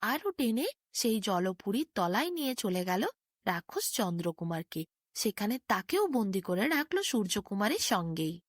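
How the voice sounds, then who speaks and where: A woman's voice: medium pitch, sing-song, normal speed, no echo, studio quality. A woman narrating a bedtime fairy tale as a storyteller.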